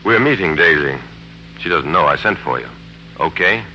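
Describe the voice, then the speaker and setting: An American actor playing a smooth, sardonic, tormented businessman and father in a 1940s radio drama. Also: sits low, animated, normal speed, bright, forceful tone, dull audio, full character voice. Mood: firm, composed. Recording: humming, noisy 1940s radio recording.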